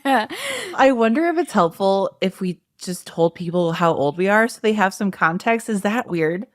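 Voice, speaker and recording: A woman's voice: mid-range voice, sing-song, normal speed, no echo, studio quality. A woman in her thirties hosting a podcast in a casual chat, encouraging and asking open questions. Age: 30s. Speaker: podcast host.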